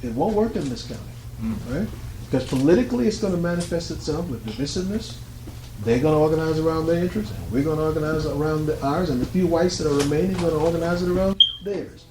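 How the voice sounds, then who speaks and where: A man's voice: fairly high pitch, expressive, quick pace, echoey room, background noise. A man speaking up at a community meeting, emphatic and argumentative, using rhetorical questions. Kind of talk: public comments at a meeting. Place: art gallery meeting room.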